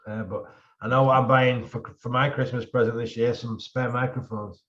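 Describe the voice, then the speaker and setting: A man, a bodybuilding show promoter, chatting casually on a podcast in a loud voice and repeating his phrases. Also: mid-range voice, level tone, normal speed. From England. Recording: roomy, dull sound.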